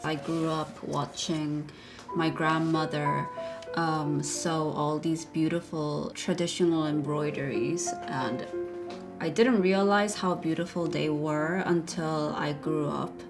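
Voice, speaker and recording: Young woman, fashion designer, low flat voice, slow reflective delivery, music underneath. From South Korea. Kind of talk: documentary interview.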